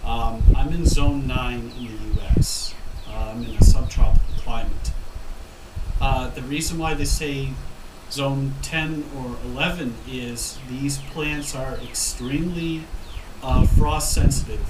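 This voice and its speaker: A man's voice: medium pitch, steady tone, a little slow. Stuffy-nosed man on a windy patio. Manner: rambling, self-correcting, frequent ums. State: stuffed up from a head cold. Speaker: gardening vlogger. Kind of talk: casual talk to camera. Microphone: camera mic from a few feet away. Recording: roomy, distant camera audio with wind noise.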